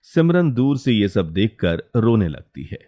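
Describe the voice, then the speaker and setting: A man's voice: lowish voice, sing-song, unhurried, warm tone. A man narrating a scripted story as its storyteller.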